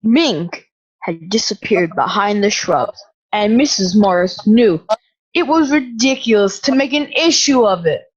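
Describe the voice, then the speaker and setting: A woman narrating a scripted drama in a deliberate, suspenseful storytelling style. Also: medium pitch, lively intonation, breathy edge, muffled recording.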